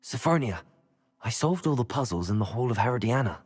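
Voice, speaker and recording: An actor playing a confident, curious young student wizard in a video game. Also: male voice, mid-range voice, animated, a little fast, close to an everyday voice. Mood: pleased, conversational. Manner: musing aloud, casting one-word spells.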